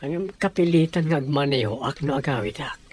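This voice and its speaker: A man's voice: fairly high pitch, expressive, fairly quick, a little mellow, slightly rough. A man playing an easygoing young office worker and sweet-talking fiancé in a radio comedy-drama. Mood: explaining.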